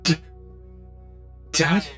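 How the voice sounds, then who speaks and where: A man's voice: pushed and bright, soft background music. A man voicing an innocent, bewildered newborn cyclops with childlike, stammering speech in a fantasy ASMR roleplay. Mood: curious, shy. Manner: stammering, hesitant, simple halting speech.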